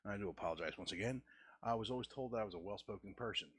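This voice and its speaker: A man in his forties hosting a casual art show, talking in a halting way with frequent stammers and restarts. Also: slightly rough, no room sound. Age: 40s. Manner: halting, restarts and stammers, deliberate lowered delivery. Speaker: host. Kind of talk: casual art-stream chat.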